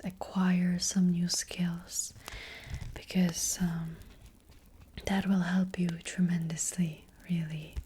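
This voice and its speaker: A soft, mellow witch played by a woman whispers a card reading as ASMR roleplay. The delivery is very unhurried, breathy and reassuring, with small hesitations. It is captured close up over a faint hiss. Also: on the low side. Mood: gentle, attentive. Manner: gentle, hesitant, slow and reassuring. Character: gentle witch fortune teller giving a card reading. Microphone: close ASMR microphone.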